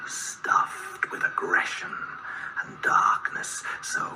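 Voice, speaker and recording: An actor playing an ancient, courtly and menacing foreign vampire in a horror radio drama, savouring each formal word. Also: male voice, very slow, pushed and bright, distant mic, full character voice. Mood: courtly, sinister. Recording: echoing whisper over music.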